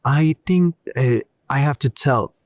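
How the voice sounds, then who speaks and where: A man whose first language is Turkish, speaking English as an interview guest in a thoughtful way, pausing often and restarting his sentences. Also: medium pitch, darkish tone, no echo. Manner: hesitant, many restarts and fillers, slow thoughtful phrasing. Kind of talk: casual interview conversation.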